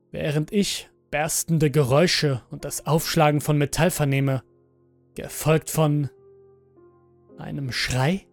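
Slow-speaking man narrating horror over music. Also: on the high side. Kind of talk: scripted first-person horror audio story narration. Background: background music.

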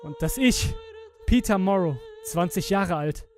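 A young man acting a guilt-ridden, haunted twenty-year-old who gives a halting, emotional confession in a horror audio drama. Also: sits high, lively intonation. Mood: desperate, haunted. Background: music with violin.

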